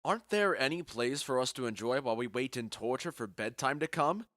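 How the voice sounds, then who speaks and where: A man's voice: mid-range voice, lively intonation, a little fast, a bit bright, no room sound, natural voice. Man acting a confident, genial duke, dry studio sound. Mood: festive, eager. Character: confident, genial Athenian duke and bridegroom, skeptical of fancies. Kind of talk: audio drama acting of modernized Shakespeare.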